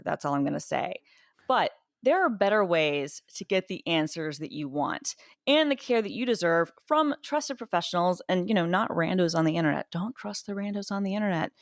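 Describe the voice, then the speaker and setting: A woman's voice: lowish voice, no room sound, clean studio sound. A woman co-hosting a podcast, reading a sponsor spot in a chatty way with jokey asides. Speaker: podcast co-host. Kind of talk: casual podcast chat and conversational ad read.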